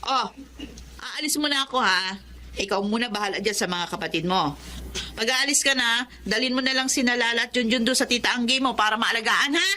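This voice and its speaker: Bossy Filipino mother, sharp and bright. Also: on the high side, fairly quick. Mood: brisk, dismissive. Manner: pushy, scolding. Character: irresponsible, demanding mother, bossy and brusque. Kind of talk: Tagalog radio drama acting.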